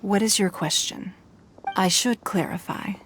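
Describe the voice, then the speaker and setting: A woman voicing a poised, shrewd, wealthy lady of a fantasy game city, speaking in a formal, measured, authoritative way. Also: medium pitch, fairly even intonation, a little hiss or hum, lightly acted. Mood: assured, businesslike. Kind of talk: game character acting.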